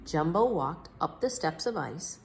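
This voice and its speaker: A woman reading a children's story aloud as a storyteller, with expressive, measured phrasing. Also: sits low, slight background noise.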